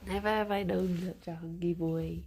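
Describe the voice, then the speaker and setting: A woman's voice: fairly low pitch, fairly even intonation, moderate pace, slight background noise. A woman with a blocked, congested nose, streaming from home, chatting casually and greeting her viewers by name. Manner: chatty, greets viewers by name, giggly, soft baby talk to pets. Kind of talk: casual morning stream chat.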